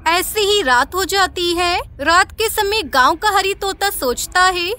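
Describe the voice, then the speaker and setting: Very high, bright woman narrator. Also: animated, takes it slowly. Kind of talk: scripted children's cartoon story narration.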